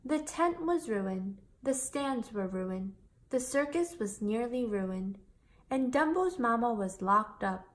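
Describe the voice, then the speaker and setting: A woman with a fairly high voice narrates a children's storybook. She reads aloud expressively, in the manner of a storyteller for young listeners. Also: expressive, slow pace. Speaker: storyteller reading a children's picture book aloud.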